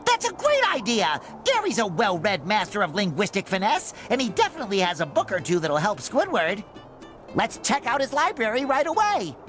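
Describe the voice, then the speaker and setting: A man voicing a cheerful, naive young sea sponge hero in a cartoon game, with a squeaky nasal voice and an earnest, excitable manner. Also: normal speed, soft background music, full character voice. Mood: eager, cheerful. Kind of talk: animated cartoon game voice acting.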